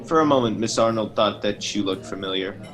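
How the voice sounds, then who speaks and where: A man playing a courteous, probing defense lawyer in a radio play, polite, measured and formal. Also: lowish voice, over music, close to an everyday voice.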